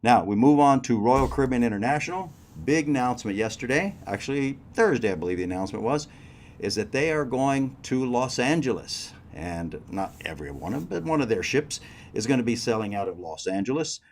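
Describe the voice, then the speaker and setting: Guy hosting a news talk show, chatty, talks right to the listeners, mid pitch. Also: expressive, brisk, a little mellow, slight background noise. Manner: chatty, addresses crew members directly. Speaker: host.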